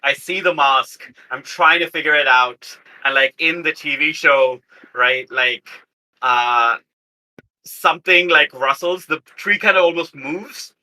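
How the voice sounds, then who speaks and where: A man talking casually as a player in a tabletop game, in a thoughtful, deliberate manner. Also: fairly high pitch, pushed and bright, rough recording.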